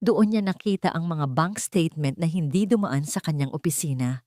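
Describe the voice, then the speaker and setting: A woman's voice: deep voice, moderate pace, dark tone, dry recording, studio quality. A woman narrating a scripted dramatic story in a solemn, emotive storytelling manner.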